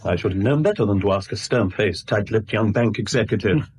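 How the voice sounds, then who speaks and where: An actor playing a jovial, wily British bank customer in a radio drama, with droll, chatty, wheedling banter. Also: male voice, on the low side, fairly quick, darkish tone, husky. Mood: droll, teasing. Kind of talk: 1970s radio drama acting.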